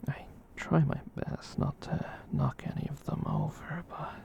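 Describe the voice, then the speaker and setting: A huge, soft-hearted catboy played by an ASMR performer murmurs in a breathy whisper full of heavy fry. The delivery is slow and mellow, tender and a little hesitant, rambling gently to reassure the listener. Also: mid-range voice, fairly even intonation. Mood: bashful, gentle. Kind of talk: whispered ASMR comfort roleplay.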